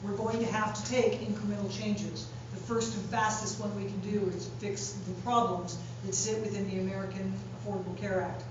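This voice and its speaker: A woman's voice: mid-range voice, steady tone. Woman speaking from afar in echoey hall. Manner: measured, plain-spoken, repetitive for emphasis. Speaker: political candidate. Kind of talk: candidate forum speech. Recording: echoey hall, distant mic, dull sound, background noise.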